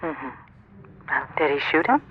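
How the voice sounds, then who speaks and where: A woman's voice: sits low, sing-song, a little slow, slight background noise. A woman playing a worried, wary reporter's wife in an audio drama, guarded and clipped, heard through a telephone. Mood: skeptical. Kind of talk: naturalistic audio drama acting. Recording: dull phone sound with music behind.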